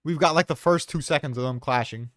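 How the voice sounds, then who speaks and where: A man's voice: fairly high pitch, quick pace, fairly bright tone, no echo, studio quality. A man in a casual chat, arguing his points with offhand swearing.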